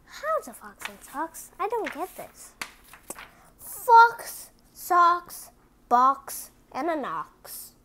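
A woman hosting a children's picture book read-aloud, reading deliberately word by word and chatting to her listeners. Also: very high-pitched, sing-song, pushed and bright, a little hiss or hum.

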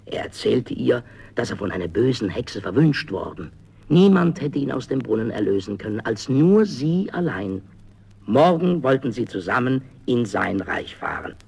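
Casting: male storybook narrator, gravelly and raspy, dramatic delivery. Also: fairly low pitch, sing-song, measured pace, a little mellow. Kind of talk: dramatic fairy-tale narration.

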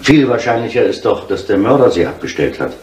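An actor playing a matter-of-fact police inspector who questions witnesses in a German radio crime drama. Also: male voice, lowish voice, very animated, a little fast, darkish tone. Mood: probing. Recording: roomy, faintly noisy 1970s radio play.

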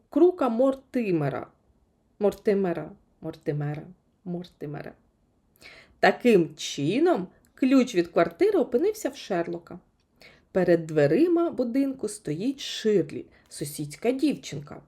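A woman reading a children's story as an expressive audiobook narrator. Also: medium pitch.

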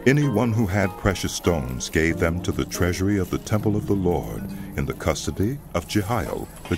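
Male narrator, scripture audiobook: low-pitched, stately, richly varied intonation, music clearly underneath.

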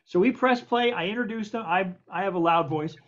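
An older man, a producer and co-host, telling an emphatic, animated story over a video call. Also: sits high, fairly quick. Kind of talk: casual storytelling. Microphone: video call webcam. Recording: dull lo-fi video call audio.

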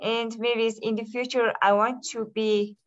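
Unhurried woman host on a dull line. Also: fairly high pitch, level tone. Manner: unhurried, repeats her questions. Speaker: host posing questions. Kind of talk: meeting moderation.

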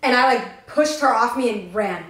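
Young woman ranting fast thru a storytime, kinda high voice, echoey room. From Tennessee. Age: young adult. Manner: fast, profane, animated storytelling. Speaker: podcast co-host. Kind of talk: casual storytime chat. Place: living room, on a green couch.

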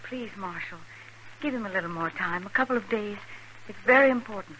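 Woman acting an earnest frontier woman, pleading, bright tense voice, vintage radio western, faint hiss. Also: mid-range voice, a little fast, natural voice. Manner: pleading, earnest, soft-hearted. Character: earnest, protective young frontier woman in love. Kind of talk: 1950s radio drama acting. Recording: noisy vintage radio recording.

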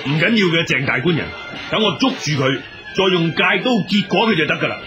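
An actor playing a boastful Buddhist monk exorcist in a radio drama, declamatory and self-assured. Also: male voice, a little high, lively intonation, fast talker, muffled recording, close to an everyday voice. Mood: confident, boastful. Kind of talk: Cantonese radio drama acting. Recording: music behind the dialogue.

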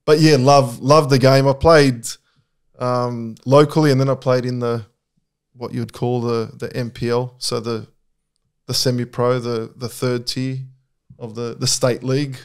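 A middle-aged man talks as a guest in a casual podcast conversation. His pitch sits in an ordinary middle range. He speaks candidly and reflectively, often restarting his sentences. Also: steady tone, unhurried, clear, resonant tone.

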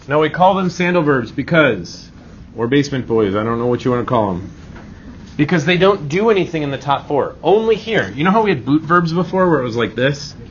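A man teaching a grammar lesson in an informal, reassuring classroom manner, often checking in with short rhetorical questions. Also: on the high side. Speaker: Spanish teacher. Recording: dull lo-fi audio, clear background noise.

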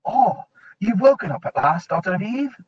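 A courteous young fawn, eager to help, as a man puts him on, speaks in a raised, lively voice that rises and falls a great deal. A slight huskiness runs through it, and the recording sounds dull and lo-fi. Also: high-pitched, normal speed, breathy edge, pitched above the performer's own voice, full character voice. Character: polite, eager young male fawn, brisk and helpful.

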